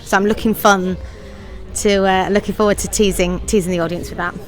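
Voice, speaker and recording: A middle-aged woman talks in a chatty, effusive way, quick to laugh as she answers questions. Her pitch sits in an ordinary middle range. Faint music plays in the background. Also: steady tone, low-quality recording. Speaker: pantomime writer, director and actor. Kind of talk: interview answers.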